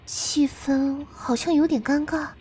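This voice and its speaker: A woman voicing a gentle, playful young girl companion in a surreal game, speaking softly and unhurriedly with a caring, teasing manner. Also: high-pitched, steady tone, unhurried, slight background noise. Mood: polite, hesitant. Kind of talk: visual novel game voice acting.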